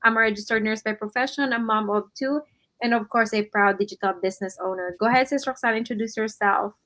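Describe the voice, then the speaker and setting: A woman's voice: a little high, fairly even intonation, fast talker, fairly bright tone. A woman from the Philippines hosts a casual livestream. She talks in a loose, chatty way, leaning on "you know" and "right" between thoughts. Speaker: livestream co-host.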